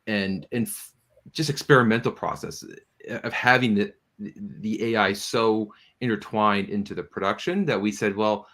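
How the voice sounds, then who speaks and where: A man speaking as a producer on a panel, telling stories in an animated, jovial, casual way. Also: on the low side, normal speed.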